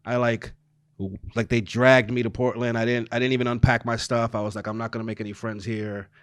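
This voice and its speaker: A man from New York City hosting a podcast in a small studio, chatting casually into a boom mic and laughing easily. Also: brisk, clear, resonant tone, no echo. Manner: relaxed, laughs often, loose run-on questions. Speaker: podcast host. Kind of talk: casual interview chat. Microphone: studio mic on boom arm, headphones.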